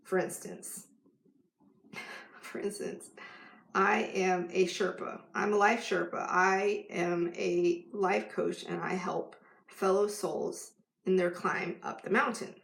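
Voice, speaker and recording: Chatty gravelly-voiced life coach woman. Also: lowish voice, steady tone, slow pace, fairly bright tone, roomy sound. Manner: chatty and rambling, with many restarts and filler words. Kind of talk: casual live vlog.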